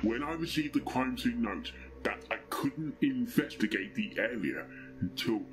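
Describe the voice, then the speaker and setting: Man acting a stern accusing pony detective, animated delivery. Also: mid-range voice, a little slow, soft background music, close to an everyday voice, low-quality recording.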